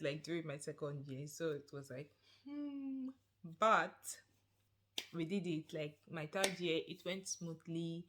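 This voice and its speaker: Encouraging Nigerian woman vlogger, lowish voice. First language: not Swedish. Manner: encouraging, animated, repeats phrases for emphasis. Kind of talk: casual advice vlog.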